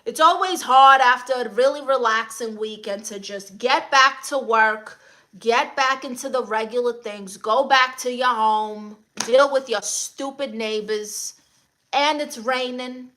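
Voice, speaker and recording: A woman with a Brooklyn accent hosts a casual livestream. Her voice sits a little high and has a bright, forward edge. She greets viewers and responds to comments with playful, blunt sass. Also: measured pace. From Brooklyn, New York, USA. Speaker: livestream host.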